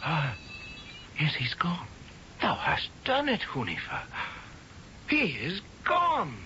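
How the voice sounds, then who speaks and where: An actor playing a nervous, evasive young army lieutenant in a radio drama, formal and hesitant. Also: male voice, high voice, huge pitch swings, takes it slowly, bright, forceful tone, slight background noise, exaggerated voice. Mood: anxious pleading. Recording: dull old radio recording, faint music.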